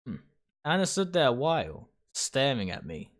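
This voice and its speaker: A man reading first-person game narration aloud dramatically, in short, deliberate phrases. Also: medium pitch, very animated. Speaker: game streamer reading a visual novel aloud. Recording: music behind the voice.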